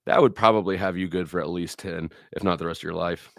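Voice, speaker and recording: Low-voiced man, rambling and blunt. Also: steady tone, fast, gravelly, dry recording, studio quality. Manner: rambling, blunt, profane, anecdotal. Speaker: livestream host. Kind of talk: casual livestream chat with viewers.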